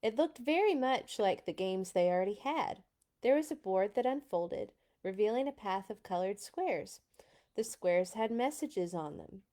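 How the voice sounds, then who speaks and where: A woman narrating a children's storybook aloud in an expressive read-along style. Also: mid-range voice, a little slow.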